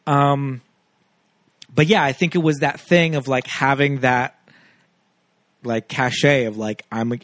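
A man's voice: mid-range voice, steady tone, slow pace, long pauses, fairly bright tone, dry recording, clean studio sound. A man, a podcast host, telling stories in a chatty way with many fillers in a casual film chat.

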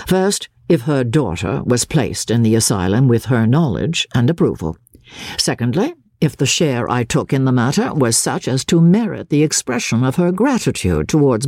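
A woman voicing a courteous, insistent gentleman baronet in formal, persuasive speech for a dramatic audiobook. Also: very deep voice, no room sound, clean studio sound, full character voice. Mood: politely insistent. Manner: formal, elaborately polite, persuasive.

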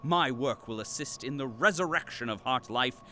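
A man's voice: medium pitch, normal speed, sharp tone, slight background noise, lightly acted. A man playing a pompous captive archivist and company loyalist in an audio drama, with formal, grandiose diction. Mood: solemn, fervent.

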